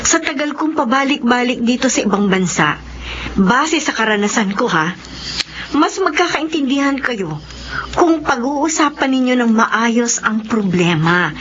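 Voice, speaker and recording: An actress playing a caring, seasoned middle-aged overseas worker giving gentle counsel in a Filipino radio drama. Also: female voice, fairly high pitch, animated, measured pace, a little hiss or hum. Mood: concerned, soothing. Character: calm, caring older woman, seasoned overseas worker friend. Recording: muffled radio sound with music.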